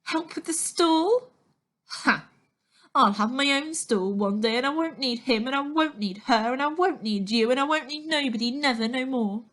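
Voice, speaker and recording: A woman's voice: sits high, moderate pace, fairly bright tone, voice pushed up. A woman voicing a sad, defiant young girl in a storybook, snapping bitterly in childish grammar.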